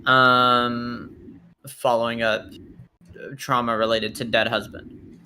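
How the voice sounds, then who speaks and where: A man's voice: mid-range voice, flat delivery, slow pace, bright, forceful tone, slight background noise. A man chatting casually as a tabletop roleplay player, hesitantly thinking aloud and swearing casually. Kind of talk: casual game chat.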